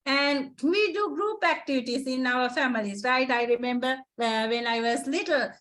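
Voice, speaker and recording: A woman's voice: high-pitched, normal speed, fairly bright tone. A woman from Sri Lanka with a Sri Lankan accent, a Sinhala native speaker, giving an encouraging lecture as a psychotherapist and often tagging her points with "right?". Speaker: psychotherapist presenter. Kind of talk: lecture presentation to students.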